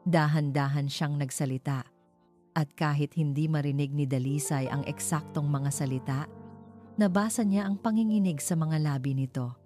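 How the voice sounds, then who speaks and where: A woman's voice: low voice, normal speed, mellow. A woman narrating a dramatic scripted story. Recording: music behind the voice.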